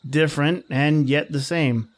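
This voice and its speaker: A man's voice: fairly high pitch, steady tone, a little slow, lightly acted. A man playing a grumbling, slangy young fiancé in an old-time radio drama, with a wry, exasperated manner. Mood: exasperated, sardonic. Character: grumbling wisecracking young fiancé dreading his boss-lady's party. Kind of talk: 1930s radio drama acting.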